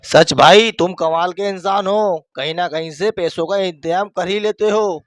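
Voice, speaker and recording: A man playing a crafty, eager thief's sidekick in a cartoon story, with a flattering manner. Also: sits high, unhurried, dry recording, studio quality. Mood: eager, admiring.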